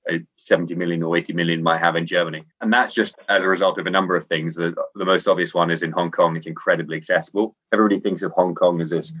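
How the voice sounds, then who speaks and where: Deep-voiced Scottish man, chatty guest. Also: fairly even intonation, rapid-fire, few pauses, fairly bright tone, lo-fi sound. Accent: English-sounding Scottish. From Scotland. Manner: chatty, enthusiastic, frequent fillers. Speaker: interview guest, sports editor. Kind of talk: casual interview over internet call.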